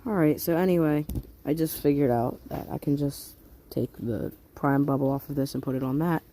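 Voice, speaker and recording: A man's voice: on the high side, moderate pace. A young man chatting casually as he repairs a small engine, rambling and correcting himself in slang, recorded on a phone outdoors and then in a shed. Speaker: hobby mechanic vlogger. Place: outdoors on a deck in light rain, then a shed. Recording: noisy home phone recording.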